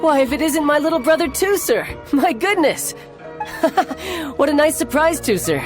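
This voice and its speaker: Young male fighter, breezy, self-assured, affectionate, expressive swooping intonation, video game acting, background music. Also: very high-pitched, a little slow, heavily acted. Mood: delighted.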